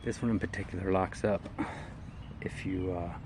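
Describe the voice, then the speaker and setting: A man giving an unscripted, casual vlog walkaround, talking in a laid-back, halting, wryly resigned way. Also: sits low, fairly even intonation. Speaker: vlogger showing his own vehicle. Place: in and around an old SUV. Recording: noisy, vehicle ambience.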